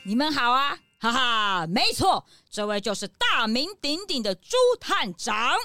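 一位女演員在兒童推理廣播劇中扮演興奮、愛撒嬌央求的年輕小助手，語氣誇張熱切。. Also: female voice, on the high side, very animated, measured pace, pushed and bright, no room sound, clean studio sound, soft background music, exaggerated voice. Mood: proud. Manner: gushing, exclamatory, pleading. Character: excitable young assistant Peanut, eager and coaxing. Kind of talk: children's detective audio drama acting.

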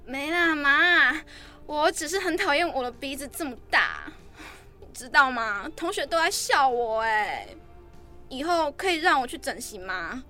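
A petulant, self-conscious schoolgirl played by a woman in an audio drama. Her voice is high and bright, swooping with whiny pleading. Faint music sits behind her. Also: expressive, measured pace, full character voice. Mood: whining, pleading.

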